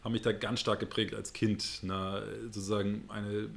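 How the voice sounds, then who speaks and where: A man's voice: fairly low pitch, level tone, normal speed, creaky. A man with a northern German accent, answering interview questions in an earnest, reflective way and searching for words as he goes. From Hamburg, northern Germany. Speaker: interview guest.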